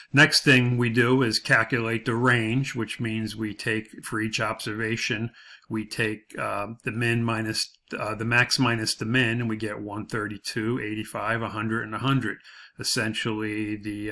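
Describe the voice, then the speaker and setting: Bright, low-pitched man teaching step by step. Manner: explanatory, step by step, repeats points. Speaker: instructor. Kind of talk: informal tutorial walkthrough of a spreadsheet.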